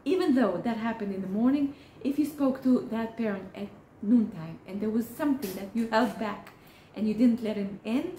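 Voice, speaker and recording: Mellow, earnest woman. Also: a little high, slight background noise. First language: Hebrew. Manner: earnest, encouraging, halting with frequent restarts. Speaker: therapist. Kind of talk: direct-to-camera advice talk. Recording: roomy echo.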